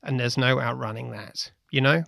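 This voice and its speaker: A man with a gravelly voice, reading first-person anecdotes as a playwright. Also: medium pitch, steady tone, moderate pace, fairly bright tone, no echo, clean studio sound.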